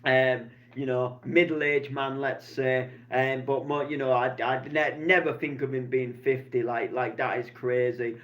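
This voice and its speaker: A man's voice: mid-range voice, little pitch movement, a little slow, few pauses, fairly bright tone. A man, rambling casually through a weekend vlog with plenty of fillers and restarts. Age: 20s. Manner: chatty, meandering, many fillers and restarts. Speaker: DJ vlogger. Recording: slightly dull lo-fi sound.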